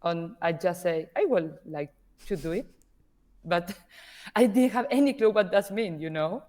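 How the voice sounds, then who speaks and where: Woman guest from Argentina, Spanish native speaker; lower register, unhurried, thinking aloud. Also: expressive, a little mellow. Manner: hesitant, searching for words in English. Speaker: podcast guest, artist manager. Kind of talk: conversational interview.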